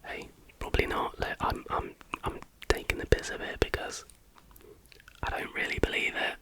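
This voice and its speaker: Whispering man, ASMR storyteller. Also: moderate pace. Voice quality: breathy, unvoiced whisper. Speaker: ASMR YouTube host. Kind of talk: whispered ASMR storytelling, reading with casual asides.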